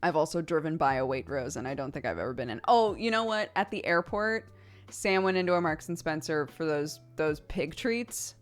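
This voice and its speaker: A woman's voice: deep voice, lively intonation, moderate pace, soft background music. A woman in her thirties streaming from home, chatting casually with her viewers, giggling often and swearing offhandedly.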